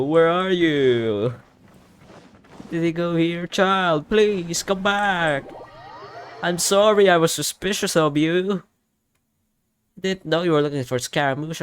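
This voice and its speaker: A young man giving casual game reaction commentary, excitable and thinking aloud. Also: on the high side, slight background noise. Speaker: gaming YouTuber. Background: game music.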